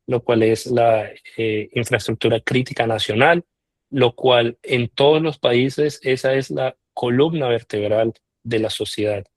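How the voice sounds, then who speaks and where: A man answers interview questions, taking his time and filling pauses with soft hesitations. His voice is mid-pitched, and the recording is close and dry, with no room sound. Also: no room sound. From Colombia. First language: Spanish. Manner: measured, with frequent "eh" pauses. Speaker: interviewed scholarship alumnus.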